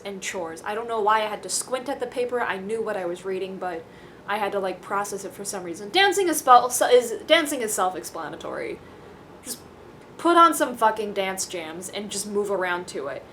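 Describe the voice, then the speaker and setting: A woman's voice: mid-range voice, expressive, moderate pace, a bit bright, a little hiss or hum. Young woman, rambling casual vlog. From Taiwan. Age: young adult. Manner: self-deprecating, dryly joking, swears casually. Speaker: vlogger.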